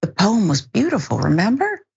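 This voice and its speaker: A woman's voice: mid-range voice, wildly swooping pitch, moderate pace, gravelly, slightly rough. American woman news host, slightly muffled audio. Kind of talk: news presenting and panel discussion. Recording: dull, band-limited broadcast audio.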